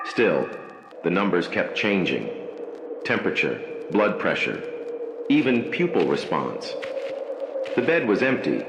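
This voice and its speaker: A man playing a haunted night-shift hospital worker, narrating a scripted horror story in slow, measured, ominous phrases. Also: sits low, echoey room, full character voice, low-quality recording.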